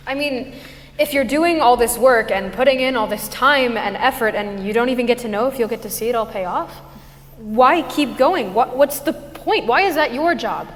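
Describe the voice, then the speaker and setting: Skeptical, inquisitive girl played by a woman, high voice, plain-spoken, theatre piece in a reverberant hall. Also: normal speed, a bit bright, close to an everyday voice. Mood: doubtful. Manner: questioning, hesitant, plain-spoken. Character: curious, skeptical young girl visiting a spirit's garden. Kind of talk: musical theatre staged reading. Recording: echoey hall, faint music.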